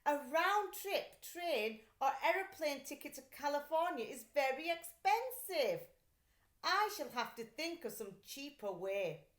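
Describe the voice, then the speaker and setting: A woman voicing a brisk, protective mother in a children's story, with a proper, emphatic, motherly manner. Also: high voice, sing-song, roomy sound, pitched above the performer's own voice. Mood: concerned.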